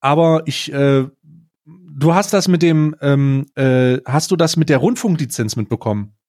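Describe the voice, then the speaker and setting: A man hosting a casual comedic podcast, talking in a halting, informal way with bits of English slang. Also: fairly high pitch, darkish tone, no room sound, studio quality. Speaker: podcast host.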